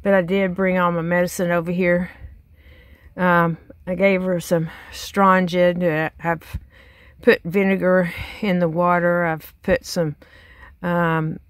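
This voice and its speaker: A woman's voice: on the low side, no echo, clean studio sound. Folksy Southern woman vlogging on her farm. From Texas. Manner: chatty, folksy, many fillers and restarts. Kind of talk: casual vlog narration. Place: outdoors on a rural farm, by a chicken pen. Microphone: handheld phone camera.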